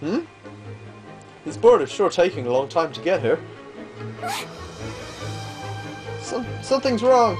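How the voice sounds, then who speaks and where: A man's voice: high voice, big pitch swings, normal speed, low-quality recording. A man voicing a worried, bossy but caring teenage girl from a video game, earnest and fretful. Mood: puzzled, anxious. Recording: game music behind.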